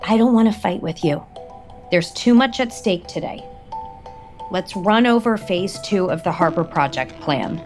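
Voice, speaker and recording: A woman's voice: on the low side, lively intonation, unhurried, darkish tone. A woman playing a cool, insistent, controlling businesswoman in an audio soap opera, with clipped formal delivery. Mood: placating, firm. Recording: music bed under the dialogue.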